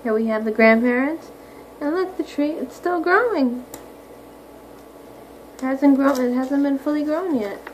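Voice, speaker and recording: A woman's voice: high voice, measured pace, pauses often, low-quality recording. A woman primary school teacher reading aloud to young children, explaining simply and often asking questions. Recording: clearly audible background noise.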